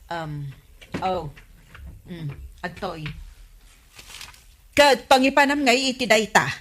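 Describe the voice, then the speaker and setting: A woman's voice: medium pitch, sing-song, slow pace, background noise. A woman with an Ilocano accent playing a curious, chatty village councilwoman in a comedy radio drama.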